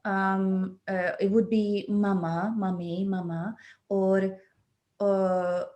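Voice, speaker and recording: A woman's voice: medium pitch, little pitch movement, slow, warm tone. A woman from Slovakia with a Slovak accent, answering casually as a learner and searching for her words. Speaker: learner in a training session.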